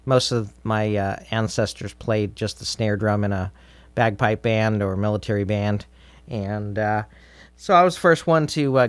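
Middle-aged male interview guest, lowish voice, dry sound, easygoing and halting storyteller. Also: no echo. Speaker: interview guest, drummer in a band. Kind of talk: casual interview chat.

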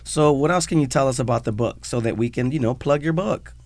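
A man's voice: mid-range voice, brisk, a little mellow, no echo. Upbeat man hosting a radio show. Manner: upbeat, hyping, talks to listeners directly. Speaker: radio host. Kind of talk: radio interview.

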